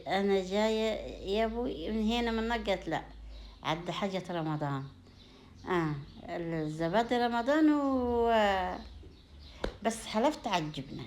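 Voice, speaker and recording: A woman's voice: fairly low pitch, darkish tone, a little hiss or hum. A woman with an Upper Egyptian Sa'idi accent chatting casually to her viewers in a home vlog, in a folksy way full of blessings and endearments. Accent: Upper Egyptian (Sa'idi) Arabic. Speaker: home vlogger.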